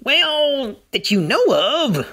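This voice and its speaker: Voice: high voice, very animated, slow pace, bright, forceful tone, voice pushed up, full character voice. A performer voicing a small, wary talking lizard in a comedy skit, repeating words and speaking in uncontracted phrases. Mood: matter-of-fact. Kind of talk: comedy puppet-style dialogue.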